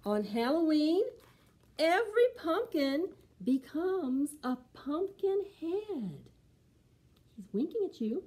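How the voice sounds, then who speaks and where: Expressive, mellow woman hosting children's storytime. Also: high voice. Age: middle-aged. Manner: expressive, slow, sing-song delivery for young children. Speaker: library storytime host for preschoolers. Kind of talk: children's storytime, singing and reading a picture book aloud.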